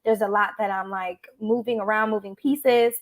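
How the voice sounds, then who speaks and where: A woman's voice: mid-range voice, steady tone, moderate pace. A woman hosting a live online talk show, chatty and appreciative, with frequent fillers. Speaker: host. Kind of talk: casual livestream chat.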